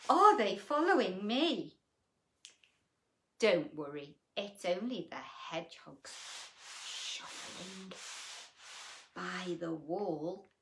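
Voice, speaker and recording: Woman, children's storyteller, unhurried pace, very animated pitch, expressive picture-book reading, hushed asides to young listeners. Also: gravelly. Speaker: bedtime story host.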